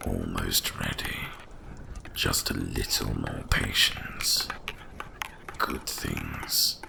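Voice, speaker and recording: Rumbling, gravelly ancient dragon. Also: male voice, steady tone, exaggerated voice. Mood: tender, soothing. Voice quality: very deep gravelly, breathy half-whisper. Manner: slow, hushed, deliberate pauses, comforting. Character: ancient gentle male winter dragon, protective and soothing. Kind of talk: ASMR roleplay storytelling.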